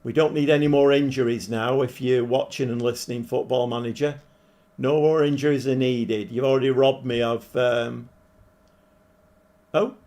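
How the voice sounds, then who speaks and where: A man with a croaky, hoarse voice, chatting casually as he streams a game and thinks aloud. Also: medium pitch, steady tone, normal speed, a little mellow. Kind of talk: casual live-stream commentary. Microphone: desk microphone.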